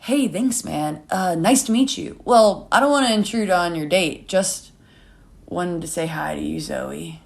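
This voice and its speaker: A woman reader plays a young man in a short story. He is polite and offhand, keeping things low-key and noncommittal. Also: measured pace, creaky.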